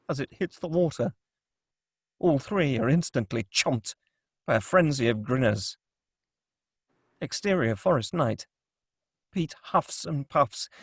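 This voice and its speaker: A man's voice: fairly high pitch, no room sound, clean studio sound. A man reading scripted stage directions as the narrator of a screenplay table read.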